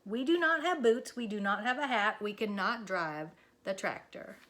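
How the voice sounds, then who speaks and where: A woman voicing a sensible but excitable female cow who reasons and protests in a children's storybook. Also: medium pitch, moderate pace, close to an everyday voice. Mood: protesting. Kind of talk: children's storybook read-aloud.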